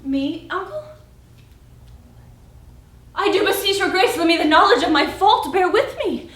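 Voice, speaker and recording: Spirited, witty young stage heroine played by a woman, high and emphatic Shakespearean verse-style delivery, recorded far off in a warehouse. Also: a little slow, exaggerated voice. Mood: earnest pleading. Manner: animated, teasing, emphatic. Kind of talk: theatrical Shakespeare monologue. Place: friend's warehouse. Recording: echoey warehouse, distant mic.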